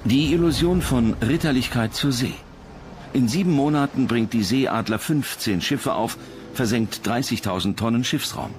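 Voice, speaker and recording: A man's voice: mid-range voice, normal speed, music in the background. A man reading scripted documentary narration as the narrator.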